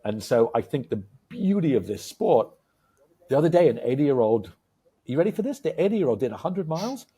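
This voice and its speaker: A husky-voiced man in his fifties chats on a podcast with real enthusiasm. He tells stories in a loose, rambling way, with plenty of "uh"s and sudden jumps in excitement. His pitch sits in the middle for a man, with an edge of breathiness. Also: fairly quick. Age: 50s. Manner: enthusiastic, animated storyteller with many fillers. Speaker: podcast co-host. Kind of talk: casual podcast chat.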